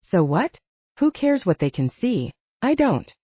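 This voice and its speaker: Woman as a cocky, coaxing male soldier, animated pitch. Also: measured pace, slightly rough, no room sound, lo-fi sound. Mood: playful, teasing. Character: cocky, reassuring male werewolf soldier, playful and insistent. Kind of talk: audiobook character dialogue.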